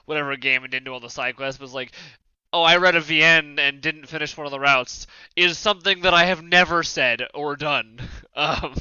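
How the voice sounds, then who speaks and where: A man's voice: a little high, normal speed, sharp tone, clean tone, no room sound. A man hosting a casual podcast discussion, speaking bluntly and acting out imagined remarks as he goes. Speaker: podcast panel host. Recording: close, dry, slightly dull audio.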